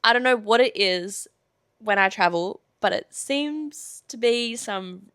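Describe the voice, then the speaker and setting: A woman's voice: mid-range voice, animated, takes it slowly, a bit bright, clear, resonant tone, dry recording, clean studio sound. A young woman bantering casually as a podcast co-host, gushing and playful with a giggly way of talking. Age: young adult.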